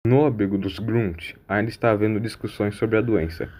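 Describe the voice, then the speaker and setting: Male narrator, lowish pitch, scripted sci-fi audio drama storytelling, faint background noise. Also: moderate pace, a little mellow.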